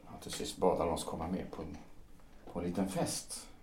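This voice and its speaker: Gloomy self-pitying writer around fifty, low voice, halting, stage drama style, roomy echo. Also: male voice, little pitch movement, darkish tone, slight background noise. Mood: confiding, uneasy. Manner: halting, brooding delivery with repeated words. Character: melancholy, self-pitying celebrated male writer, aged fifty. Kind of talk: stage-play audio drama acting. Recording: roomy, echoing sound.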